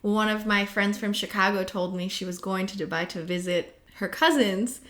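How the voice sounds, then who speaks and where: A woman's voice: medium pitch, normal speed, fairly bright tone. Curious woman asking interview questions. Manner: conversational, curious. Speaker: podcast interviewer.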